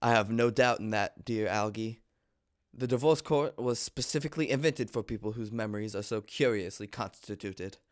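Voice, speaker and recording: A man reading the part of an earnest, easily exasperated upper-class gentleman in love, in a theatrical, lofty manner for a stage comedy. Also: fairly low pitch, moderate pace, natural voice. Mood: lovestruck, lofty.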